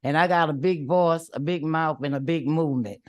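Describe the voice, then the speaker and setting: A woman's voice: sits low, a little fast, no room sound. A woman, a community activist giving emphatic, defiant public comment at a legislative hearing, repeating her points in colloquial speech.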